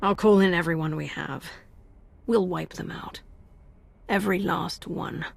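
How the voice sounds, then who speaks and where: An actress playing a cool, decisive commander in a video game, with a crisp, assured delivery. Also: female voice, lowish voice, a little slow, heavily acted. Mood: resolute.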